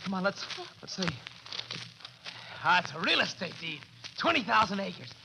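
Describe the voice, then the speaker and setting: An actor playing a desperate, bullying young killer and thief in 1940s radio drama style, barking and insistent. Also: male voice, high-pitched, big pitch swings, normal speed, gravelly, full character voice. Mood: agitated, insistent. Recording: noisy old 1940s radio recording.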